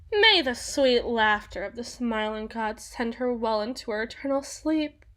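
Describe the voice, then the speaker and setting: A haughty young empress voiced by a woman holds forth in a theatrical audio roleplay. Her voice sits fairly high and she takes her words very slowly, leaving deliberate dramatic pauses. She is imperious and mocking, with a possessive, menacing edge. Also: a bit bright. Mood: scornful, indignant.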